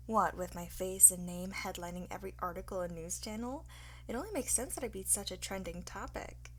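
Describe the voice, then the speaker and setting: A woman playing a cocky, flirtatious art thief in an ASMR roleplay, teasing and smug, often slipping into breathy whispers. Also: medium pitch, fairly bright tone, slight background noise. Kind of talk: ASMR roleplay, one-sided acted dialogue.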